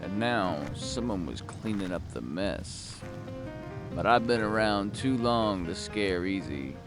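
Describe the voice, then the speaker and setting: An actor playing a world-weary, hard-boiled private detective, narrating a noir audio drama in a wry, brooding, gravelly voice. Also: male voice, fairly low pitch, animated, takes it slowly. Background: music.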